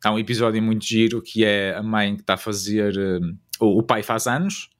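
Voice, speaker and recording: A man with a European Portuguese accent chatting casually in an interview, in a hesitant, halting way. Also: fairly low pitch, little pitch movement. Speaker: interviewed father.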